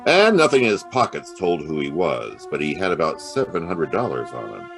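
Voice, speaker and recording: A tough wry detective, real deep voice, swings around a lot, noir radio play style. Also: male voice, big pitch swings, normal speed, vocal fry, a bit bright, soft background music, exaggerated voice. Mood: matter-of-fact narration. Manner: terse, wry, slangy tough talk. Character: hard-boiled, wry private detective narrating his case. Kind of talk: noir audio drama acting and narration.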